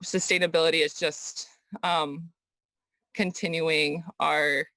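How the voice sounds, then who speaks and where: A young woman from Kazakhstan, raised in the US, answering interview questions thoughtfully with many pauses and restarts. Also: deep voice, little pitch movement, bright, forceful tone, no echo. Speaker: guest artist. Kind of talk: casual interview conversation.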